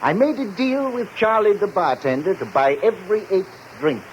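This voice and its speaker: An actor playing an aging, boozy barfly philosopher in a 1940s radio drama, speechifying in a florid, pompous, mock-tragic way. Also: male voice, high voice, lively intonation, moderate pace. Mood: tipsy, jovial. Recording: muffled, noisy 1940s radio recording.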